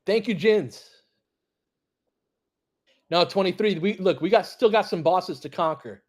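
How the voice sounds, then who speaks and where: Opinionated man with a slightly high voice. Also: unhurried, a little mellow. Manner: conversational, opinionated, sweary; partisan fan reacting to plays and chat. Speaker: host of a basketball fan live stream; former high school basketball coach. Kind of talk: casual live play-by-play with fan chat.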